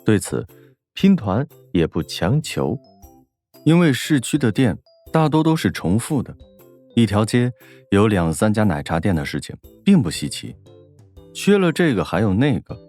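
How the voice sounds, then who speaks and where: A man's voice: on the low side, big pitch swings, moderate pace, a little mellow, soft background music. A man reading a scripted novel as an audiobook narrator.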